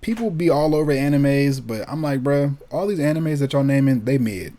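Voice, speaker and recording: A man's voice: mid-range voice, expressive, normal speed, dark tone. An American man co-hosting a casual talk about movies and anime, slangy and opinionated, often repeating himself for emphasis. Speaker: podcast co-host.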